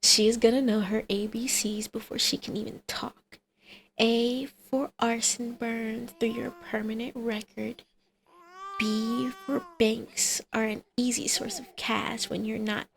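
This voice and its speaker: A woman playing a teasing, flirtatious villainess in an intimate ASMR roleplay, with a creaky voice that often drops to a breathy whisper. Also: a little high, flat delivery, slow pace. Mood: smug teasing.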